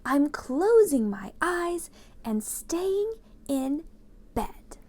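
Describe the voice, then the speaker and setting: A woman's voice: high voice, sing-song, drawn-out words, darkish tone, voice pushed up, heavily acted. A woman voicing a grumpy, sleepy, stubborn male groundhog who grumbles in a children's storybook read-aloud.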